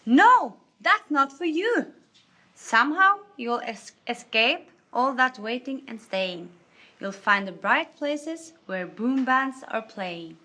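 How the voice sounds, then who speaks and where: Female, bright forward voice, slightly high, teacher, storybook read-aloud. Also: animated, slow pace. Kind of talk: reading a children's rhyming book aloud.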